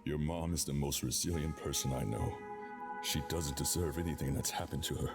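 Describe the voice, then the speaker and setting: An actor playing a gruff, driven operative, protective and blunt, in an audio drama. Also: male voice, steady tone, brisk, loud music behind the voice. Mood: earnest, reassuring. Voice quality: very deep voice.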